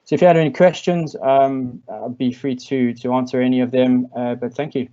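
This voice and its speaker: Flat-voiced South African man. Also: medium pitch, highs cut off. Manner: measured, reflective, with frequent ums and restarts. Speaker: invited speaker. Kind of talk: online masterclass Q&A.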